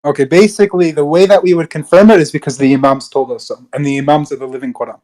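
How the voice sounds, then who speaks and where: A man's voice: on the high side, fairly even intonation, quick pace, a little mellow. A young man calling into a debate over a video call, explaining his views in hesitant, rambling sentences full of restarts. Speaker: caller.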